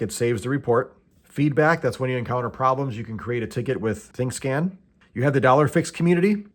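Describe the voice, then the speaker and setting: An American man hosting a casual product walkthrough, explaining step by step in a plain-spoken, matter-of-fact way. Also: medium pitch. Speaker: host of tool review and how-to videos.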